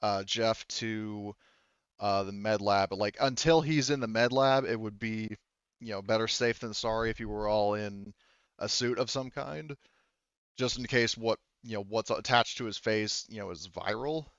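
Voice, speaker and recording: A man narrating a tabletop roleplaying game as game master, halting and thinking aloud as he goes, through dull lo-fi audio. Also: on the low side, fairly bright tone, clear, resonant tone, no room sound.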